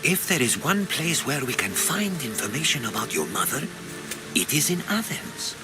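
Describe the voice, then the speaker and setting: An actor playing an earnest, duty-bound older Greek scholar in a video game, measured and admonishing. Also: male voice, medium pitch, big pitch swings, background noise, natural voice. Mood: admonishing, persuasive. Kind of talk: video game cutscene acting. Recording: game music behind the voice.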